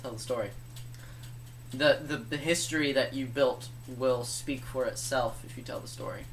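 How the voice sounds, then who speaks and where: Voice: medium pitch, steady tone, normal speed, slight background noise. A co-host chatting casually, prompting a storyteller and teasing in a wry way. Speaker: co-host and listener. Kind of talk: casual banter.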